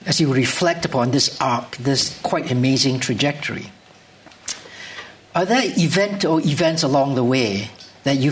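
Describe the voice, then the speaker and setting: A man hosting a formal on-stage interview, introducing his guest with long, measured, listing questions. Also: mid-range voice, slight background noise. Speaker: event host and interviewer. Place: lecture hall with live audience.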